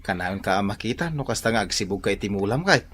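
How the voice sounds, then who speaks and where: A man playing an excitable, nervous, bumbling house guard in a comic radio drama, with a stammering, exclaiming delivery. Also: fairly low pitch, a little fast, a little hiss or hum. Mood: curious.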